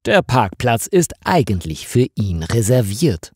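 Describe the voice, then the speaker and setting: A man narrating a scripted story for language learners in measured, deliberate phrases. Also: mid-range voice, big pitch swings, a little mellow, husky, no echo, studio quality.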